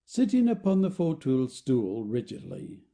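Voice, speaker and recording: A man narrates an audio play, reading out stage directions. His voice sits at an average pitch and has a very dark, mellow tone with no edge to it. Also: huge pitch swings, a little slow, gravelly.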